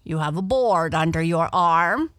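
Lady doing a fussy boy frog from a kids story, bossy n grumbly, voice swings all over, bright. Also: fairly low pitch, animated, measured pace. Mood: encouraging. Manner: formal, complaining, bossy. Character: talking male frog, fussy, grumbling, excitable. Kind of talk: children's storybook character voice.

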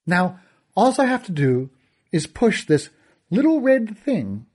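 A man's voice: sits high, sing-song, slow pace, a little mellow, pitched above the performer's own voice, heavily acted. A man voicing a cruel, theatrical villain who gloats and taunts in a sneering, sing-song way. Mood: sly.

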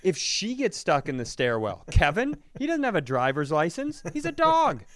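A man's voice: a little high, wildly swooping pitch, normal speed, no echo. A man telling a personal story in a casual comedic style, self-deprecating and freely swearing.

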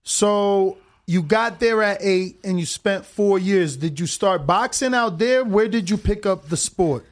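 High-voiced New Jersey host. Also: male voice, measured pace. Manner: eager, conversational questioning. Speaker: interview host. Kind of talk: casual interview.

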